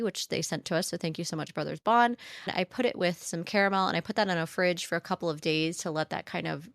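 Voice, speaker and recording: A woman's voice: on the low side, fairly bright tone, clear, resonant tone, no echo, studio quality. A woman co-hosting a book podcast, chatting casually and upbeat with lots of fillers, sounding nasal from a cold. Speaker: podcast co-host.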